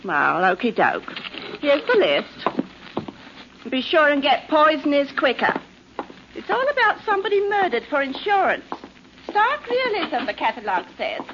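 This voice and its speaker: An actress playing a domineering, sarcastic working-class wife, scornful and coarse, in 1940s radio drama style. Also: female voice, sits high, huge pitch swings, measured pace, background noise, full character voice. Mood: chatty. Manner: scornful, sharp-tongued, coarse. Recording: dull, muffled 1940s radio recording.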